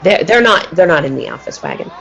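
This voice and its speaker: Woman acting a scheming low-voiced circus villainess. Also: sing-song, gravelly, fairly bright tone, a faint crowd, full character voice. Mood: nervous, evasive. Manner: halting, stammering, repeating words. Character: scheming, nervous murderous circus tiger-tamer woman. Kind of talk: radio play acting. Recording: muffled, lo-fi audio with noise.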